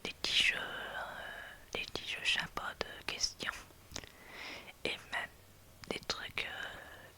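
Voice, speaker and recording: An ASMR creator whispering casual chat in a hesitant, halting way, often searching for words. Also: pushed and bright.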